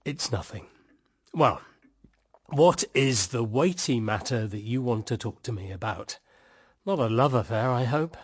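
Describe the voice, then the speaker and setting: An actor with a British accent playing a weary, embittered husband in a radio drama, wry yet tenderly affectionate. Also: male voice, mid-range voice, lively intonation, unhurried, breathy edge. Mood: wry teasing. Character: weary, embittered husband, tender toward his young sister-in-law.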